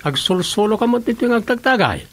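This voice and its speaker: A man's voice: high voice, sing-song, fast talker. A man playing a chummy village brother-in-law in an Ilocano comedy radio drama, with an Ilocano accent.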